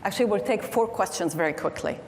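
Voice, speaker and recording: A woman moderating a conference panel, briskly calling on questioners. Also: medium pitch, expressive, darkish tone. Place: large conference hall. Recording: reverberant hall, faint room noise.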